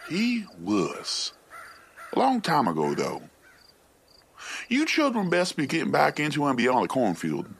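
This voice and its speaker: A man voicing a jittery, folksy sidekick in a comic audio story, with a husky voice and backwoods talk. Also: fairly high pitch, huge pitch swings, a little slow, long pauses, voice pushed up, heavily acted. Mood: stern warning.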